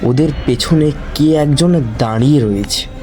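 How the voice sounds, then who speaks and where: A man who narrates a cartoon horror story for his channel, telling it slowly and deliberately. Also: a little high, music in the background.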